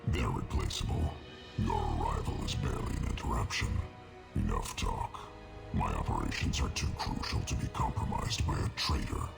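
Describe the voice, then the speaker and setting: An actor playing a huge, cold, arrogant alien crime lord in a video game, with a gravelly growl and formal, menacing delivery. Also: male voice, exaggerated voice. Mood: arrogant menace. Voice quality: deep monstrous gravelly growl. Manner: formal, pompous, measured menace. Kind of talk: video game cutscene acting. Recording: music behind the voice.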